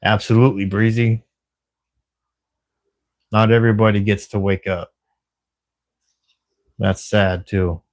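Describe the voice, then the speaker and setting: A man's voice: fairly low pitch, level tone, slow pace, pauses often. A man casually chatting on a livestream, reading viewer comments in a friendly, rambling way with plenty of fillers. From Texas, USA. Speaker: livestreamer working a camera security shift.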